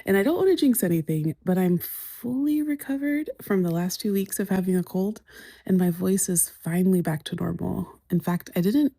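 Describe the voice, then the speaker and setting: A woman's voice: mid-range voice, moderate pace, vocal fry, mellow, no echo. A woman in her thirties from the United States, hosting a casual solo podcast from her living room couch, talking chattily into her phone's voice memos and laughing often. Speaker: podcast host. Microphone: phone voice memo.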